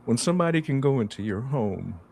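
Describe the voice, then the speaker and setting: A man in casual podcast chat, relaxed and slangy, stretching his stories out as he goes. His voice sits at an ordinary middle pitch. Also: creaky, slight background noise. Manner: laid-back slangy banter, drawn-out storytelling. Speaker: podcast co-host.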